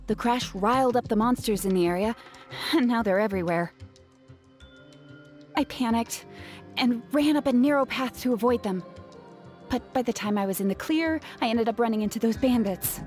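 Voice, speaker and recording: An earnest craftswoman from a fantasy game , played by a woman, with a slightly high voice. She explains things sincerely and gently, over faint music. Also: moderate pace, close to an everyday voice. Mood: earnest, explaining. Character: Chevin, earnest, weary, grateful female gem artisan. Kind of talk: video game voice acting.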